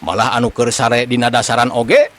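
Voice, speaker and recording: A man with a Sundanese accent telling a comic folk tale in an animated storytelling style. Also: medium pitch, moderate pace.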